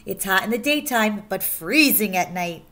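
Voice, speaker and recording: A woman teacher reading a rhyming children's picture book aloud in an animated, sing-song way, stressing key words. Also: medium pitch, normal speed.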